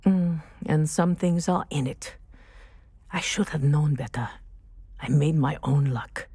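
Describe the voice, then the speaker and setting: An actress playing a dignified, principled female professor in a game, speaking in a measured, precise, formal way. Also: low-pitched, dark tone, breathy edge, no room sound, exaggerated voice. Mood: solemn regret. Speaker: professor and flying teacher. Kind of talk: scripted game dialogue, storytelling.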